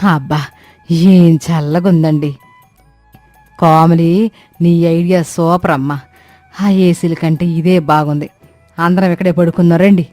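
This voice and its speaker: A woman's voice: fairly low pitch, fairly even intonation, measured pace, long pauses, mellow, no echo, soft background music, close to an everyday voice. A woman voicing a bossy, stingy village mother-in-law in a cartoon story, with a scolding, exclamatory manner. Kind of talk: Telugu comic cartoon story acting.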